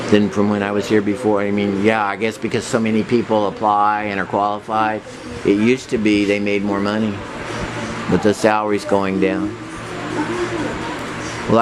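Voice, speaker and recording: Woman, 50s, teasing and chatty, deep low voice, noisy street-side recording. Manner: teasing, chatty, trails off and restarts. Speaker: charity organizer chatting with teens. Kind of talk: casual chat, asking questions.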